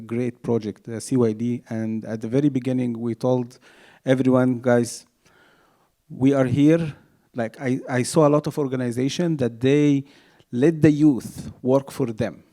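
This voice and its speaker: A middle-aged man from Egypt, introducing himself on a panel with halting speech and many fillers. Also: medium pitch, gravelly, warm tone.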